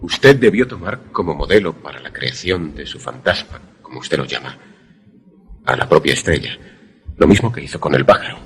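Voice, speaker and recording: A man's voice: very deep voice, animated, normal speed, vocal fry, husky, exaggerated voice. A man playing a grave, questioning protagonist who narrates a suspense radio drama in formal, literary language. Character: grave, questioning male narrator-protagonist caught in eerie events.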